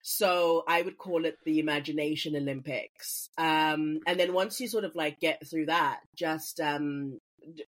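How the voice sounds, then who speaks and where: An actor from Africa, chatting casually as an interview guest in a playful, animated way. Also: mid-range voice, measured pace. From South Africa.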